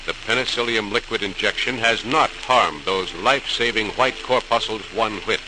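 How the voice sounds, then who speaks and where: A man's voice: fairly low pitch, noisy background. A man with an American accent delivering emphatic, declamatory scripted narration in a 1940s radio style. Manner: declamatory, emphatic, measured phrasing. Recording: old transcribed radio recording. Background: music.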